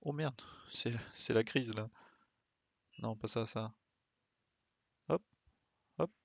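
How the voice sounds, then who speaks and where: A man's voice: medium pitch, takes it slowly, creaky, dry recording. A man giving casual live commentary as he plays a game, muttering short interjections as he thinks aloud. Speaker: game streamer.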